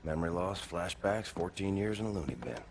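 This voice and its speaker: An actor playing a gruff, battle-scarred American soldier in a video game cutscene, terse and deadpan, with a gravelly voice. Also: male voice, a little fast, background noise. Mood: deadpan, weary. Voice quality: gravelly, low.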